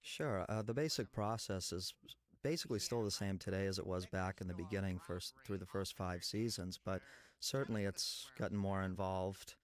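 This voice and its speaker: This is an American man from New England with a lowish voice. He talks casually as a designer on an audio commentary. He explains his work in detail, often in lists. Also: moderate pace. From Massachusetts, New England, USA. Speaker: animation background designer.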